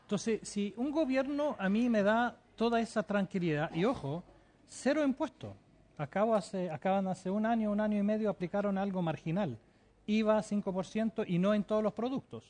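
Fervent high-voiced man answering questions. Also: lively intonation, normal speed, a little mellow. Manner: fervent, insistent, with frequent hesitations and repeats. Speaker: interview guest living in the Emirates. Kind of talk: TV interview answers.

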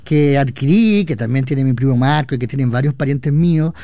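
A Chilean man and radio columnist talking in a casual chat, his speech rushed and halting with many restarts. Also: medium pitch, warm tone, no room sound. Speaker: columnist guest. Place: radio studio.

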